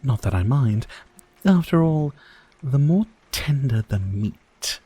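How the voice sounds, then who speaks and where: Man playing a mellow, slow-talking sadistic vampire lord. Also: mid-range voice, animated, slightly rough, faint music behind. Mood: silky, predatory. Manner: silky, formal, theatrical, teasing, drawn-out phrasing. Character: sadistic, courtly male vampire lord, dominant and mocking. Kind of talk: ASMR roleplay, dramatic monologue to listener.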